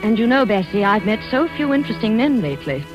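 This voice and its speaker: A woman's voice: fairly high pitch, sing-song, quick pace. An actress playing a genteel, impressionable young Victorian lady in an earnest, polite 1940s radio style. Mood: confiding. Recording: dull old radio recording, music behind.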